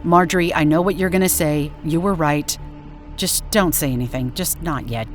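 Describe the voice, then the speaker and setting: A woman voicing a sheepish, weary young husband in a horror story, murmuring quietly. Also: deep voice, moderate pace, music in the background, pitched below the performer's own voice, lightly acted. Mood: weary, subdued.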